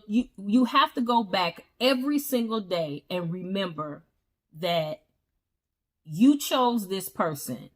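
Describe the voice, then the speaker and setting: A woman co-host talking through marriage and money with earnest, emphatic conviction. She speaks at an everyday middle pitch, steady and conversational, and drives her points home repeatedly. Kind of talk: casual chat about relationships.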